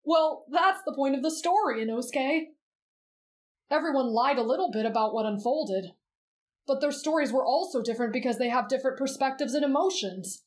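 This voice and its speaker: A woman voicing a gentle, earnest, patient teenage boy in an anime bedtime-story roleplay. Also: sits high, brisk, close to an everyday voice. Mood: earnest, kindly.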